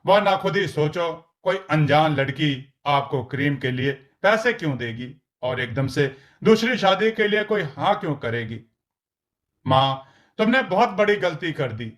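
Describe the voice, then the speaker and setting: An earnest adult son in a storybook drama, voiced by a man narrator. His voice is fairly high and his manner explanatory and reproachful. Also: expressive, measured pace.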